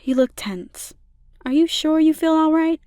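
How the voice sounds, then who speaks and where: Woman acting soft, mellow, soothing slime girl. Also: sits high, unhurried, dry recording, studio quality. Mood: caring, puzzled. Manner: soft, soothing, intimate delivery. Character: gentle, caring slime monster girl, playfully teasing. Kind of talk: ASMR roleplay.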